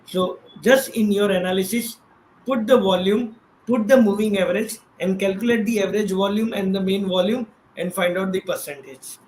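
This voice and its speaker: Man, Indian accent, high pitch, conversational expert explainer, faint background noise. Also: normal speed, darkish tone, reverberant, poor audio. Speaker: guest expert, trading professional. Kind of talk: casual explanatory talk on stock trading.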